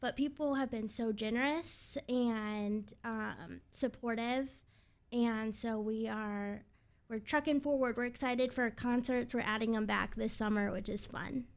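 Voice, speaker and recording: A woman in her twenties with a slightly high voice speaks as a guest in an interview. She is a radio presenter, and she answers in an upbeat, conversational way. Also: fairly even intonation, measured pace. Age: 20s.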